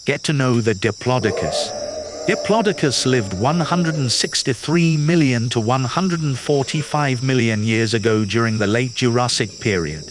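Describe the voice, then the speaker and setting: A man reading a children's nonfiction picture book aloud in a clear, patient, teacherly way. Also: mid-range voice, expressive, normal speed, background noise. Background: background music.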